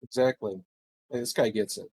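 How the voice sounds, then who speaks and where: A man's voice: lowish voice, fairly even intonation, moderate pace, mellow, slightly rough. A man bantering casually as a player during a tabletop game.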